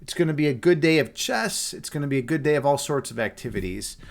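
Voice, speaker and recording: Chicago streamer, young guy, slightly high voice, easygoing chat, lots of man and dude. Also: expressive, fast, creaky. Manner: laid-back, chatty, frequent "man" and "dude", many restarts. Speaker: streamer and chess instructor. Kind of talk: casual live-stream chat with viewers.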